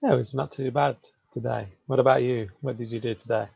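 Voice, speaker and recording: Male English tutor, Birmingham accent, deep gravelly voice, casual chat, dull lo-fi call sound. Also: steady tone, fast talker, no room sound. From England. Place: online video call.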